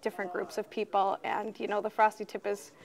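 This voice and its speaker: A woman's voice: medium pitch, level tone, brisk, creaky. A young woman from northeastern Wisconsin, a community theater actress chatting casually in an interview, often laughing and trailing off.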